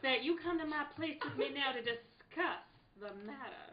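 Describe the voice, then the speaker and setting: A woman playing a polite but insistent wealthy gentleman in a comic radio play. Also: high-pitched, animated, moderate pace, background noise. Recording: roomy, dull sound, crowd behind.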